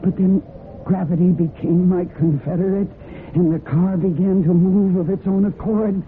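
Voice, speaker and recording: An actress playing a desperate, guilt-ridden woman in a radio mystery, with a halting, intense and deliberate delivery. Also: fairly low pitch, steady tone, natural voice. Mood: grim, tense. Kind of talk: dramatic radio mystery acting. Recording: noisy, narrow-band old radio recording.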